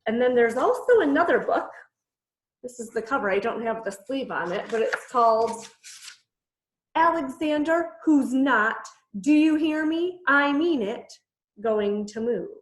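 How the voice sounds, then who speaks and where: Very high-pitched woman reading a children's story. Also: measured pace. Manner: animated, dramatic emphasis. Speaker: teacher reading a picture book aloud. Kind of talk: expressive bedtime story read-aloud for children. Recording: echoey room.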